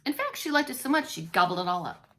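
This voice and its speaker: A woman's voice: sits high, sing-song, fast talker, a bit bright. A woman reading a story aloud to young children as a preschool teacher, expressively and with playful asides. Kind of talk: expressive children's read-along narration.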